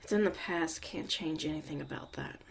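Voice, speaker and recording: A woman playing a jaded, blunt young witch mother in a soft, sardonic ASMR roleplay about surviving the apocalypse. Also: deep voice, moderate pace, vocal fry, slight background noise. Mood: wistful, resentful. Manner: sardonic, casual, swearing, dismissive.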